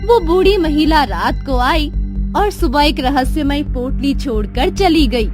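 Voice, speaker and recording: A woman's voice: sits high, expressive, measured pace, music in the background. A woman narrating a scripted moral story as a storyteller.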